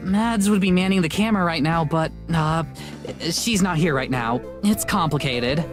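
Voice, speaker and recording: A woman's voice: sits low, normal speed, close to an everyday voice. Woman acting a brash young paranormal show host. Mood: showy cheer. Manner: casual, salty, performed cheer. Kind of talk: visual novel character acting. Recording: music behind the voice.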